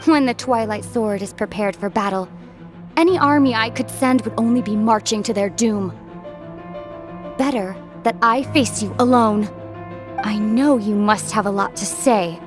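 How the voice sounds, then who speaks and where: A woman's voice: a little high, close to an everyday voice. A woman playing a poised, formidable young leader in a video game, formal, composed and resolute.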